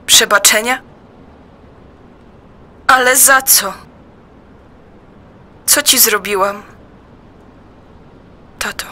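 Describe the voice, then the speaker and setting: A woman with a high, very bright and tense voice recites dramatically. She is plaintive and reproachful, taking each line slowly and deliberately. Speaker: performer in an audio play. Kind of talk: dramatic recitation of a personal poem.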